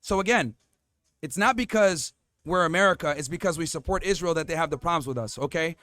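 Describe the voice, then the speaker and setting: A man's voice: a little high, brisk, a bit bright, no room sound. A man hosting a street debate who argues assertively, laying out his case point by point. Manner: assertive, lists points by number, cuts in to hold the floor. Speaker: debate host.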